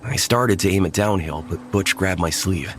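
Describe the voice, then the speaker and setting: Male narrator, very low, tense first-person horror read, music bed behind. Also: normal speed. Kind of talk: horror audio drama narration.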